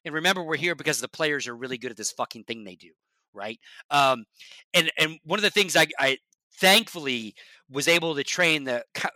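A man talking shop in a casual podcast chat as a guest from esports broadcasting. He is blunt and emphatic, swears, and often sinks to a low, quiet voice. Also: no room sound, low-quality recording.

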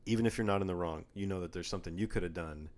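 A young man co-hosting a casual talk show, jokey and animated, getting louder when he gets worked up. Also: racing. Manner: animated, jokey, rising in pitch and volume when riled. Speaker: podcast co-host. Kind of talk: casual chat.

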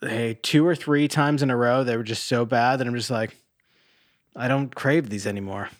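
A man with a middling pitch talks casually as a podcast co-host. He rambles and swears freely, recorded close and dry with very little room sound. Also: normal speed, no echo. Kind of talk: casual chat.

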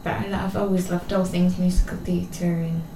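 A young adult woman from Scotland with a Scottish accent that sometimes slips toward English, co-hosting a casual chat in a chatty manner with quick asides. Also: lowish voice, flat delivery, creaky, dark tone. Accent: Scottish, at times English. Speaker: co-host. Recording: echoey room with faint noise.

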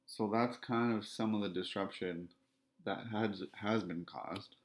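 A man from Ontario speaking in a podcast monologue, with a self-described monotone, flat delivery and measured, repetitive phrasing. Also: fairly low pitch. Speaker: podcast host.

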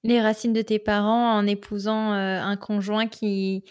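A woman hosts the podcast in a relaxed, conversational way. She asks follow-up questions and drops in brief reactions and laughs. Her voice sits at an ordinary middle pitch. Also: a little slow, clean tone. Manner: interjects with short reactions and laughs. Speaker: podcast host.

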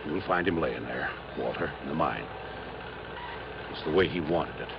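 Deep-voiced grieving old-time miner, muffled recording. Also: male voice, normal speed, creaky. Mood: grieving, resigned. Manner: emotional, folksy, repeats himself. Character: grieving older miner mourning his dead partner. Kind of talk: 1950s-60s radio drama acting. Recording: muffled, noisy vintage radio recording.

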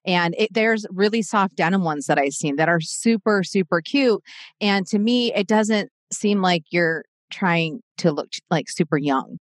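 A woman's voice: fairly low pitch, unhurried, no echo, clean studio sound. A woman in her forties chatting casually as a podcast co-host, reflective and full of fillers and restarts. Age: 40s. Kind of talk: casual chat.

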